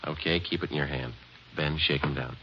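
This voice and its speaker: A clipped, deadpan police sergeant. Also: male voice, extremely low pitch, brisk, slight background noise. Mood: stern. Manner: clipped, matter-of-fact delivery. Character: terse, businesslike male police sergeant. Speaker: narrator and lead detective. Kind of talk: 1950s radio drama narration. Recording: dull lo-fi old radio broadcast with music.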